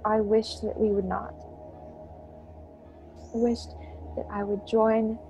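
A woman's voice: mid-range voice, level tone, takes it slowly, vocal fry, warm tone, faint music behind. A young woman playing a grieving starship crew member in a science-fiction radio play, telling her story dramatically. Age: young adult. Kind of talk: dramatic first-person radio play narration. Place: bedroom at home, video call. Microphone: earphone headset on video call.

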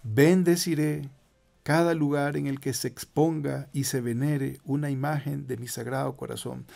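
A man's voice: mid-range voice, steady tone, normal speed, creaky. An older man from Ecuador, a Jesuit priest, explaining devotions in a radio studio interview with asides to the listeners. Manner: warm preaching tone, rhetorical asides to listeners. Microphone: studio microphone with headphones.

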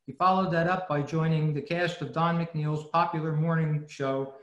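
A man's voice: a little high, steady tone, moderate pace, a bit bright, muffled recording. A man reading a prepared talk as a presenter on a video call, in scripted narration. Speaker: old-time radio club presenter. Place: indoor room, video meeting. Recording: echoey room on video call.

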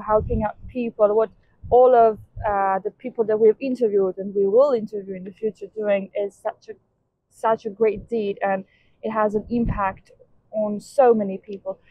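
A woman's voice: mid-range voice, dry recording. A young woman hosting an outdoor interview in a stone courtyard, asking questions in a friendly, slightly hesitant way. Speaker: interview host. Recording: dull lo-fi sound.

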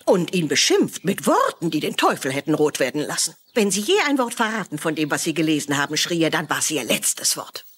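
Casting: chatty small-town nurse-housekeeper, husky, animated, TV mystery dub. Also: female voice, deep voice, brisk, few pauses, exaggerated voice. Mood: scandalized gossip. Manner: chatty, dramatic storytelling. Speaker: actor in a dubbed mystery series. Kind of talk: dubbed TV drama acting.

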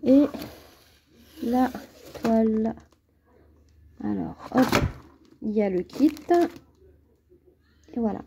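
A woman's voice: fairly high pitch, drawn-out words, gravelly, long pauses, background noise. A woman with a cold, chatting casually and hesitantly to camera at home while unboxing a craft kit, with many fillers and restarts. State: has a cold. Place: at home, at a table.

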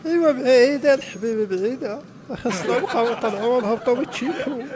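A man's voice: very high-pitched, quick pace, crowd noise. A man voicing a feisty, stubborn older woman in a comedy cartoon, with a northern Moroccan accent and a grumbling, argumentative manner.

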